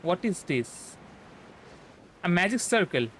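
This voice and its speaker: A man's voice: high voice, big pitch swings, slow, a bit bright, slight background noise, rough recording. A young man from India with a Bengali accent, a native Bengali speaker, giving casual, hesitant and rambling gameplay commentary as a livestreamer. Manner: hesitant, rambling, repeats himself. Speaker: livestreamer, VTuber. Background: game music.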